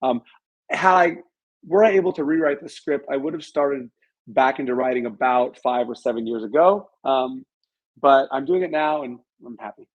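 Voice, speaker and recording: A man's voice: medium pitch, moderate pace, highs cut off. A middle-aged man speaking casually as an interview guest, telling stories in a candid, self-deprecating and chatty way. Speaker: interview guest, author and business owner.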